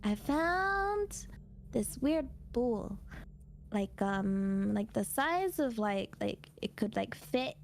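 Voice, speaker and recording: A woman with a very high, swooping voice tells casual stories in a cutesy, playful way. She takes her time between phrases and drops in Japanese words. There is faint noise behind her. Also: sing-song, slow pace. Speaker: VTuber streamer. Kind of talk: casual storytelling chat.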